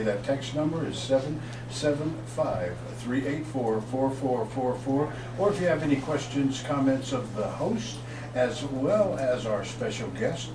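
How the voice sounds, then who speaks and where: Energetic male talk radio host. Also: medium pitch. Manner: energetic, promotional, reads out phone numbers. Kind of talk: upbeat talk radio hosting. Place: radio booth set up in a shopping mall. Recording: roomy, distant mic, noisy.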